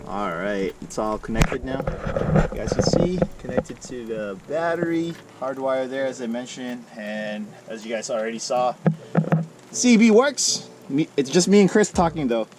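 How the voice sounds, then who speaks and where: A man's voice: medium pitch, animated, measured pace, very noisy. A man hosting a casual vlog in jokey, slangy bro talk. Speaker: vlog host filming a truck build.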